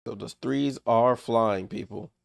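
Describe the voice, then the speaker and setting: Male stream host, gravelly and a bit under the weather, clipped live play-by-play with chatty asides to chat, dry room. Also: mid-range voice, slow, dry recording. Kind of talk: casual live play-by-play commentary.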